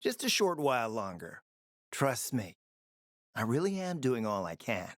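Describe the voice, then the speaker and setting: Sly smooth-talking spymaster for a game, theatrical and urbane, pitch moves around a lot, dry recording. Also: male voice, sing-song, a little slow, fairly bright tone, no echo. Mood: smooth, coaxing. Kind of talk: video game voice acting.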